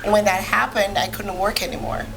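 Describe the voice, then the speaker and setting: A woman from Jamaica, a physician appearing as a podcast guest, telling her personal story in a measured, matter-of-fact way. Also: mid-range voice, steady tone, vocal fry, fairly bright tone, poor audio.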